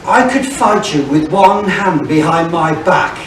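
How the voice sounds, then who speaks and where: In a very echoey hall, far from the mic, a blustering pantomime villain. The sheriff is bombastic and gloating, his voice pitched high and every threat drawn out theatrically. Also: expressive, a little slow. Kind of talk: stage pantomime villain acting. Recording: very echoey hall, distant mic, faint noise.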